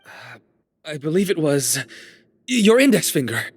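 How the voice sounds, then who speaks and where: A man's voice: a little high, sing-song, unhurried, breathy edge, no room sound, exaggerated voice. An actor playing an anxious, pleading male inmate in a video game, with a hesitant, defensive manner. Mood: uncertain. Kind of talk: anime-style video game voice acting.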